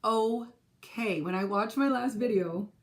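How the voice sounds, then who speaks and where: A woman's voice: a little high, slow. A woman in her forties with a Minnesotan accent, a teacher chatting casually in a rambling, self-correcting way for her vlog. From California. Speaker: second grade teacher and stitching vlogger.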